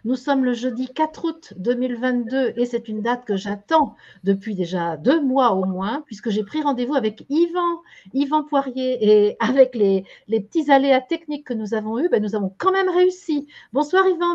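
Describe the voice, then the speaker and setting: An older woman hosts an online interview from her home, her voice mellow and slightly raised in pitch. She phrases her questions searchingly with little fillers, and the webcam audio sounds dull and lo-fi. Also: animated, moderate pace. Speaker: host of a daily online show. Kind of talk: interview hosting. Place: home room. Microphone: video call webcam.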